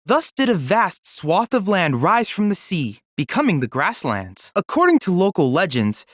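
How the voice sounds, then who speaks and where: A man's voice: high voice, a bit bright, no room sound, studio quality. A man voicing a polite, eager teenage boy android research assistant in a video game, speaking in a precise, formal, articulate way. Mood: scholarly explaining. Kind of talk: scripted video game character dialogue.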